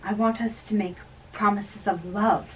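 Woman playing romantic young male lover, slow yearning delivery, stage tragedy, distant mic. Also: medium pitch, fairly even intonation, a bit bright, voice pushed down, close to an everyday voice. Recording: distant mic with background hiss.

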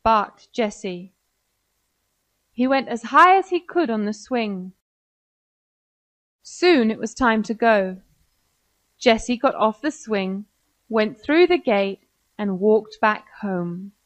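A woman's voice: a little high, animated, slow pace, pauses often, dry recording. A woman with an American accent narrating a scripted children's story.

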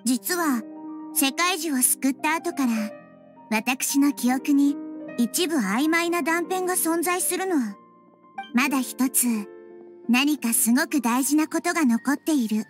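A woman playing a gentle, wise little girl deity in an anime game, speaking in a composed, polite, reflective way. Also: sits high, slow. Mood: pensive. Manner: composed, polite, reflective, feminine mature phrasing. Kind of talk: anime video game acting. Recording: music behind the voice.

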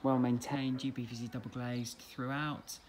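A man's voice: mid-range voice, moderate pace. English man, estate agent tour voice, easygoing patter, phone-camera sound with faint background hiss. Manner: relaxed, chatty, plain sales patter. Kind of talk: walk-through property tour narration. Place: inside a detached house and its back garden. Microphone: handheld phone camera.

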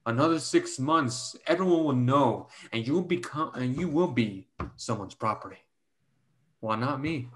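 A man's voice: medium pitch, expressive, normal speed, lightly acted. A man playing an insinuating, flirtatious suitor, coaxing and needling in stage dialogue. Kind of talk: dramatic dialogue in a play reading. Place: indoors at home on a video call.

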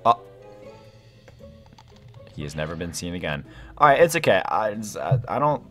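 A young man talks through a game in a slightly low voice that jumps around a lot in pitch. He is excitable and slangy, thinking out loud as he plays. Faint music runs behind his casual commentary. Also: moderate pace. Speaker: gaming video host.

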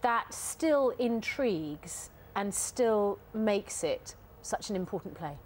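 A woman in her forties presenting breakfast TV in a studio, reading links smoothly and asking guests questions. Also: mid-range voice, expressive, slow, a little mellow, slight background noise. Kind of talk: news presenting and interviewing.

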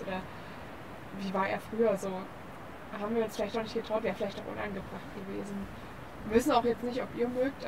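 A young woman conducts a casual interview outdoors, with clear noise around her voice. Her pitch is average and she chooses her words carefully, often restarting. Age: young adult. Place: outdoors on a park bench by a forest. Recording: noisy outdoor recording.

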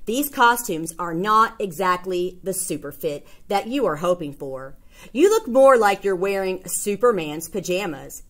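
A woman reading a children's picture book aloud, expressively and with emphatic delivery. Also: medium pitch. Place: indoors, small classroom or office.

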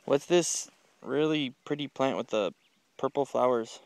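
Dad walking thru the garden w handheld cam, asks what each plant is, kinda gravelly, mid pitch, encouraging. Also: male voice, unhurried, no echo. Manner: curious, gently quizzing, encouraging. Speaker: parent filming a garden tour. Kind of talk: casual quizzing chat with children. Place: outdoor home vegetable garden. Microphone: handheld camera while walking.

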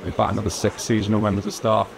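A man's voice: lowish voice, flat delivery, rapid-fire, a crowd in the background. A man in his thirties with a southern English accent, speaking casually and informally in an interview as a local business owner. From Lyme Regis, southern England. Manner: informal, hedging with "kind of" and "you know". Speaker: brewery owner being interviewed. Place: outdoor courtyard by a stone wall. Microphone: lapel mic. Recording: clear outdoor street noise.

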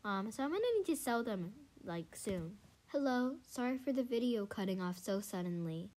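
A young woman with a congested, stuffy voice, casually commenting on her gameplay, chatty and excitable with many fillers. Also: medium pitch, moderate pace. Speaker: gaming channel host. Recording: faint music behind. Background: faint game music.

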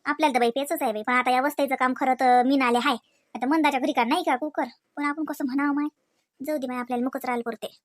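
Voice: sits high, fairly even intonation, fast, lightly acted. A performer voicing chatty, fussy village women in a comedy cartoon, with a rural Varhadi Marathi accent and a nagging, colloquial way of talking full of questioning tags. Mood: hesitant, murmuring. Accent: rural Varhadi Marathi, Vidarbha. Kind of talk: comedy cartoon dialogue in rural household chat.

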